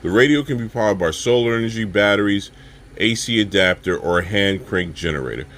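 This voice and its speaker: Low-voiced man giving a casual gadget demo. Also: expressive, fairly bright tone. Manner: halting, fillers, addresses viewers as guys. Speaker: product reviewer. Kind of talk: casual product demonstration.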